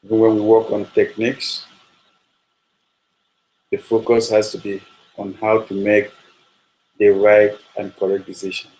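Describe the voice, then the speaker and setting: A man speaks in a low voice that barely rises or falls. He is a football coach, talking at a steady, measured pace with frequent ums and uhs, and he keeps circling back to the same points. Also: poor audio. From Nigeria. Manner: measured, repetitive, with frequent fillers. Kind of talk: spoken coaching talk.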